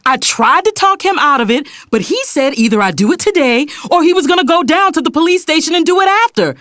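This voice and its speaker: A woman plays a determined female attorney in an audio drama. Her voice sits fairly high and moves in lively swings, recorded close and dry. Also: no echo. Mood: pleading, defensive. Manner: formal, measured in court. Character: determined female defense attorney, dutiful elder sister.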